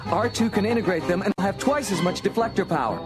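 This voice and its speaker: A man's voice: high voice, fast talker, lightly acted. A man playing an eager, earnest young rebel starfighter pilot in a space adventure radio drama. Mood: urgent, excited. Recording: radio drama with loud music behind.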